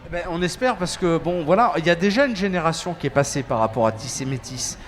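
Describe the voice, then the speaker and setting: A man in his fifties from France talks on a web TV panel as an association organizer. He speaks in a high, lively voice and keeps starting over between fillers. His talk is casual and animated. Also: fast talker. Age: 50s. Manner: animated, hesitant with many fillers.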